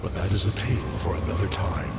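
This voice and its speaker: An actor playing a serene, noble alien warrior spirit in a video game, stately and solemn, with a ghostly echo. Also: male voice, low voice, fast talker. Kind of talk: dramatic game dialogue. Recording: echoey, distant, dull, under loud music.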